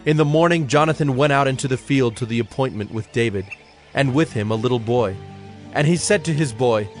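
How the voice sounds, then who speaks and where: A man's voice: mid-range voice, brisk, faint music behind. A man narrating a dramatized Bible story from a script.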